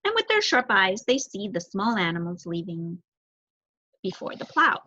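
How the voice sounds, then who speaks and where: A young adult woman reading a children's book aloud as an expressive narrator. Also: mid-range voice, normal speed, lo-fi sound. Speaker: story reader.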